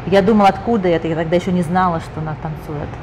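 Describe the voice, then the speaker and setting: A woman's voice: on the low side, fairly even intonation, rapid-fire. A woman interviewer asking chatty, admiring questions in a casual interview from a lounge. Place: lounge with leather sofas and bookshelves, indoors. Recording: noisy room ambience.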